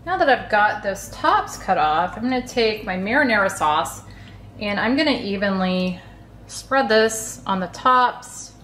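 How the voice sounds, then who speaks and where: A woman's voice: medium pitch, animated, a little slow, fairly bright tone. Chatty woman in an echoey kitchen. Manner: chatty, friendly, easygoing explanations. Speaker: cooking channel host. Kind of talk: casual recipe walkthrough voice-over.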